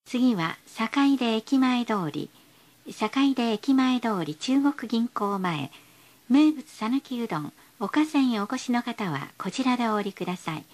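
A woman's voice: on the high side, expressive, a little slow, darkish tone. A woman reading scripted bus stop announcements in a polite, formal, carefully enunciated way.

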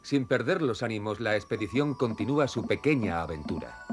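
A man narrating a children's cartoon like a storybook, with expressive, clear phrasing. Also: fairly low pitch, a little fast, faint music behind.

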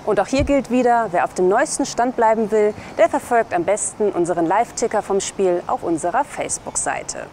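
A woman's voice: medium pitch, a little fast, hardly any pauses, dark tone. A young woman presenting scripted local news to camera outdoors. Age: young adult. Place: outdoors by a building and trees. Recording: faint outdoor city noise. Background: faint outdoor ambience.

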